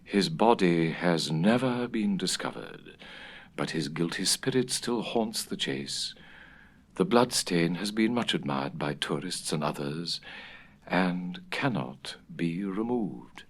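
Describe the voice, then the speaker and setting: Man acting old woman housekeeper, storybook, low hushed voice, grave, old-fashioned, mysterious. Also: expressive, slow, husky, full character voice. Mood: ominous, mysterious.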